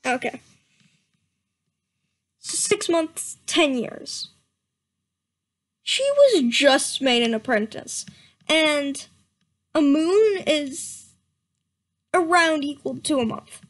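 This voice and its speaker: A young woman with a high voice reads a fan story aloud in a slow, unhurried way. She breaks off for sarcastic asides and frequent giggles. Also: lively intonation. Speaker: reader. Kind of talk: mocking dramatic reading.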